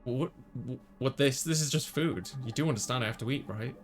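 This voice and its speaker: A man's voice: mid-range voice, fairly quick. A man hosting a gaming video, reading visual novel text aloud and adding casual asides.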